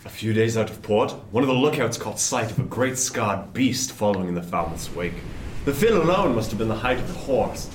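A man's voice: on the low side, animated, a little fast, distant mic, close to an everyday voice. A man playing a jovial, superstitious older sailor in a seafaring radio drama, talking with colloquial yarn-spinning swagger. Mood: ominous storytelling. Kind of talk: period radio drama acting. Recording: roomy, off-mic, noisy ship ambience.

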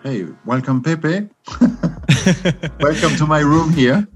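A man's voice: fairly high pitch, husky, faint music behind. A man in his fifties with a French accent, a guest answering in a casual interview with lots of restarts and fillers. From France. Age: 50s. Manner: halting, many restarts and fillers. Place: his room at home.

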